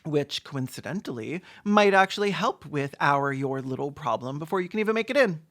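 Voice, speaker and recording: A mid-pitched commentator delivers sarcastic film commentary. The talk moves between deadpan asides and mock-dramatic emphasis, played for comedy. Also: a little fast. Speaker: video commentator.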